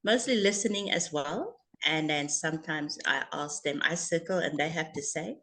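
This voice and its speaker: A woman talks casually as an online teacher. Her voice is on the low side for a woman. She takes part in a relaxed group discussion. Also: moderate pace. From South Africa.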